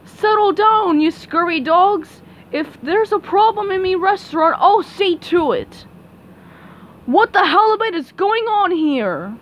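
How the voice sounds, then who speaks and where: A woman puts on a crusty old-salt crab boss for a cartoon. He talks in slow pirate diction with lively swings, gruffly paternal and ominous. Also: extremely high pitch. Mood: bossy. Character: crusty male crab restaurant boss with sailor talk. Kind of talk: hobby cartoon comic dub.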